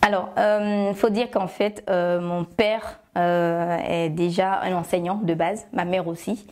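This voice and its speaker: A woman in her thirties from Côte d'Ivoire with an Ivorian French accent, chatting as an interview guest, full of fillers and self-corrections, on a lapel mic in a bright studio room. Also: lowish voice, flat delivery, slow pace. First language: Agni. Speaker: guest, love shop founder. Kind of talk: relaxed interview answers.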